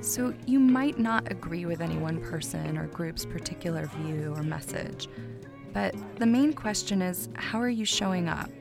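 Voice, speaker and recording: A woman's voice: on the low side, animated, moderate pace, creaky. A woman hosting a podcast, reading scripted narration. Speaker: podcast host. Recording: music under the voice. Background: music.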